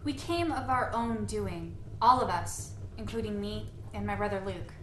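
A woman's voice: medium pitch, animated, moderate pace, lightly acted. A woman playing a defiant, feisty young daughter in an audio drama, plucky and proud. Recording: echoey space, faint noise.

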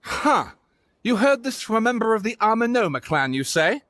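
A man's voice: high-pitched, sing-song, normal speed, fairly bright tone, dry recording. A man voicing a stubborn, theatrical novelist in a game, with a dramatic, pompous delivery. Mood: deflated. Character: theatrical, stubborn male novelist, gray-haired.

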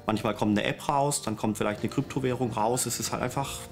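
A man's voice: medium pitch, fairly even intonation, brisk, music in the background. A man in his forties explaining things as an expert interviewee.

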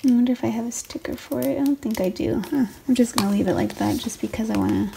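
A woman in her twenties with a raspy late-night voice, rambling casually through her daily planner and correcting herself as she goes. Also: fairly high pitch, animated, fast talker, noisy background. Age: 20s. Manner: rambling, self-correcting, soft. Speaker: planner vlogger, stay-at-home mom. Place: home desk, filmed late at night. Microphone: overhead camera on the desk.

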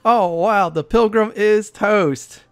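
A man's voice: high voice, slow pace, smooth. A man hosting a gaming channel, giving casual walkthrough commentary and explaining things in short, plain phrases.

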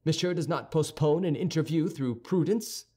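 A man's voice: on the high side, normal speed, mellow, husky, pitched above the performer's own voice. A man voicing a proud, hot-tempered young swordsman in an audiobook, impetuous and indignant. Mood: defiant. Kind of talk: audiobook character dialogue.

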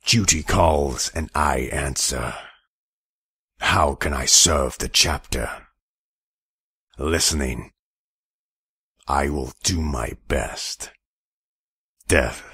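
Man doing an extremely deep, ancient war machine. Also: vocal fry, sharp tone, husky, no echo, studio quality, exaggerated voice. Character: ancient dutiful warrior machine, stern and devout.

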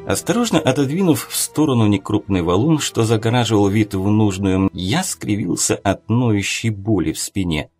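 A man's voice: lowish voice, lively intonation, moderate pace, few pauses, darkish tone, faint music behind. A man reading a novel aloud as scripted first-person audiobook narration.

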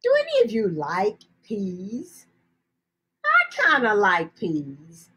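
A middle-aged woman telling children's folktales in a theatrical, playful way, with dramatic pauses and repeated phrases. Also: a little high, fairly bright tone, slightly rough. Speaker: storyteller. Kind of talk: animated children's folktale storytelling with sung refrains. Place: indoors, video call.

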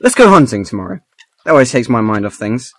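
A man's voice: mid-range voice, big pitch swings, fast, dry recording, studio quality. A man playing a blunt but caring best friend in an amateur radio drama. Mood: coaxing. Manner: blunt, plain-spoken, coaxing.

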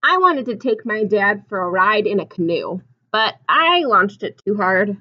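Young lady teacher doing a storytime read aloud for little kids, expressive, kinda muffled lo-fi webcam sound. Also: medium pitch, a little slow. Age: 20s. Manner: expressive, simple explanations addressed to children. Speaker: teacher reading aloud to children. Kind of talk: picture-book read-aloud with asides and questions to young children. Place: small classroom, indoors.

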